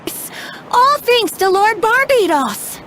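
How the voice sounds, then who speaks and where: A woman's voice: very high-pitched, big pitch swings, slow pace, fairly bright tone, a little hiss or hum, exaggerated voice, poor audio. A woman voicing a playful, youthful male wandering bard in a video game, light and coy. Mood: earnest.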